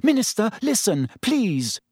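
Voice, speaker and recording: Storybook schoolgirl, played by a man: raised pitch, imploring, earnest, dry sound. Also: very animated, measured pace, darkish tone, husky, no room sound, heavily acted. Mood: pleading. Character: earnest, pleading teenage schoolgirl.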